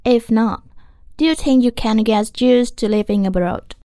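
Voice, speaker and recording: Woman reading exam questions clearly. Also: fairly high pitch, unhurried, dark tone, no room sound, studio quality. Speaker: examiner reading interview questions. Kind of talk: scripted read-aloud questions.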